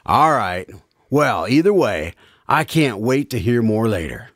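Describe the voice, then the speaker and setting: A man's voice: medium pitch, very animated, measured pace, no room sound, studio quality. A man hosting a radio drama show in a scripted presenter's style. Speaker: host. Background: plucked guitar music.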